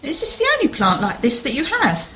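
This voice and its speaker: Woman doing a nosy genteel lady detective, higher lively voice, polite, radio play. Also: brisk, slight background noise, exaggerated voice. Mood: intrigued. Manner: polite, probing. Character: polite, inquisitive amateur sleuth lady, shrewd and genteel. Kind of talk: amateur radio play acting.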